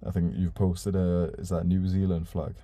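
Low, dark-voiced young man streaming. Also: flat delivery, normal speed, no echo. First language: Urdu. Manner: laid-back, swears casually, reads chat messages aloud. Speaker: live stream host reading donations. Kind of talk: casual live stream chat.

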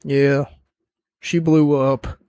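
A man's voice: medium pitch, little pitch movement, extremely slow, a little mellow, clear, resonant tone, dry recording. A man giving a casual spoken review and recap as host, rambling with jokey asides, his voice starting to wear out. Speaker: wrestling show reviewer, host.

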